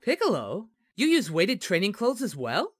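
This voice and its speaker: A man's voice: high-pitched, wildly swooping pitch, normal speed, slight background noise. A man voicing a cheerful, naive, dim-witted young martial arts hero in a parody anime dub, childlike and innocently blunt. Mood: puzzled.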